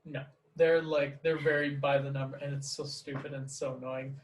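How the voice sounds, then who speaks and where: A man's voice: medium pitch, flat delivery, normal speed. Chatty man in an echoey room. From Canada. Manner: rambling, many fillers like "like". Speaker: podcast host, teacher. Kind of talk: casual conversation.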